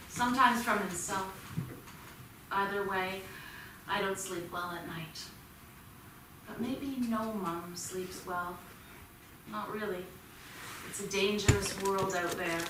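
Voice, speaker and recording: A woman's voice: mid-range voice, lightly acted. An actress playing a protective, struggling single mother in a staged reading, earnest and defensive. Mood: weary, reflective. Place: theatre stage, recorded from a distance. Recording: distant mic, echoey theatre, clear noise.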